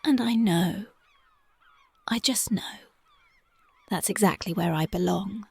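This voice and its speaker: A voice actress playing a young punk girlfriend, speaking softly and whispering in a dreamy, intimate, affectionate ASMR roleplay close to the mic. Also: mid-range voice, slow, creaky, husky, no room sound, full character voice. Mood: tender dreamy. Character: young punk woman, tender devoted girlfriend.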